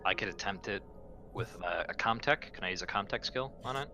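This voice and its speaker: A man's voice: lowish voice, a little fast, pushed and bright, faint music behind. A man talking casually as a player in a tabletop game.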